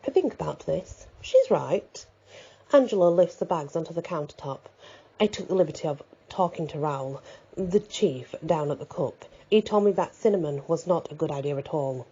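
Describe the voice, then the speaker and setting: A woman reads a first-person story as an audiobook narrator. Her voice is fairly low, mellow and husky, captured on a slightly dull, lo-fi recording. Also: lively intonation, moderate pace.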